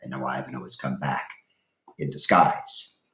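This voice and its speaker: A man with a deep voice teaches and reads aloud. He is deliberate and instructive, leaving pauses between phrases. The room around him is noticeably echoey. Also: flat delivery, pushed and bright. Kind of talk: lesson talk and chapter read-aloud.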